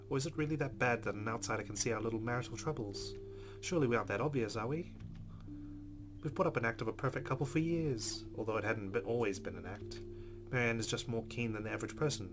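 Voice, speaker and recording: A man hosting a let's play, reading visual novel narration aloud in a measured, expressive way with casual asides. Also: medium pitch. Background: game music with plucked guitar.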